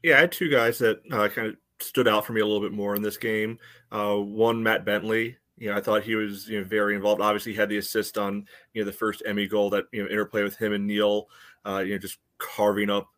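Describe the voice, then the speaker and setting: A man giving casual soccer analysis as a podcast panelist, in a halting way padded with filler phrases. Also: fairly low pitch, level tone, fairly bright tone.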